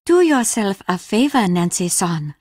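A woman playing a grieving older Japanese innkeeper mother in a video game, reserved and stern, speaking in a measured, formal way. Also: mid-range voice, sing-song, a little mellow, no room sound, clean studio sound. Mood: serene. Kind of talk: video game character acting.

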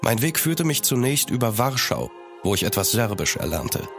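An actor playing a gentleman traveller who narrates his journey in measured, literary audio drama style. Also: medium pitch, sing-song, vocal fry, faint music behind. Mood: grave, reflective. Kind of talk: scripted first-person audio drama narration.

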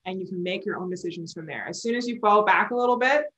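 A woman's voice: mid-range voice, fast, bright, forceful tone. Brief: sailor from Barbados in her twenties, chatty interview guest on a video call, roomy home audio. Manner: chatty, explains with hand gestures, laughs often. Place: indoor room at home.

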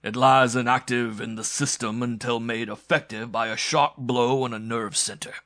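A man's voice: medium pitch, moderate pace, a bit bright, no echo, clean studio sound. A man voicing a brutal, scheming male villain in a throaty, guttural, boastful way for an adventure story. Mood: gloating. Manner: boastful, menacing.

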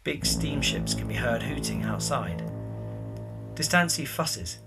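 A narrator reading scripted stage directions for an audio drama in a measured, descriptive way. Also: medium pitch, animated, over loud music.

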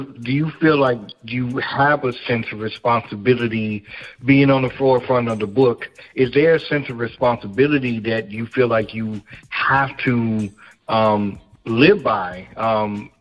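A male interviewer with a mid-range voice asks loose, rambling questions and often restarts his sentences. The sound is dull and lo-fi, with faint music behind him. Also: medium pitch. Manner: rambling, searching for words. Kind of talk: casual interview conversation.

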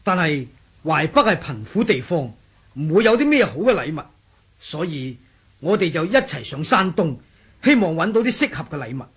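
A man's voice: fairly high pitch, sing-song, normal speed, fairly bright tone, husky, exaggerated voice. A man with a Hong Kong Cantonese accent playing a deferential rebel soldier reporting formally to his leader in a radio drama. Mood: respectful. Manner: formal, storytelling, respectful. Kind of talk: 1980s radio drama acting.

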